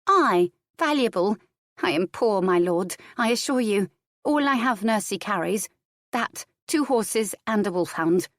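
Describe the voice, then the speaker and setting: A woman's voice: mid-range voice, expressive, measured pace, no echo, clean studio sound, voice pushed up, lightly acted. A woman voicing a small, proud noble girl, blunt and self-possessed, with formal speech in a historical audiobook. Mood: surprised.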